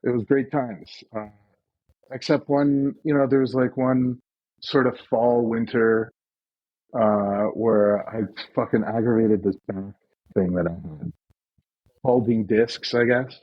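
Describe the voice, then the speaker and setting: A husky man in his forties talks in loose, casual chat, circling back with "like" and "you know" as he tells his story. The sound is a little dull and muffled. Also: medium pitch, fairly even intonation, slow pace, dry recording. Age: 40s. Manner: rambling storyteller, many fillers and restarts. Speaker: podcast guest.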